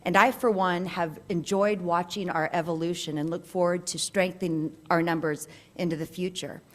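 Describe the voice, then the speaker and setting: A woman presiding over a club meeting, giving upbeat, brisk announcements. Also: fairly low pitch, fairly even intonation.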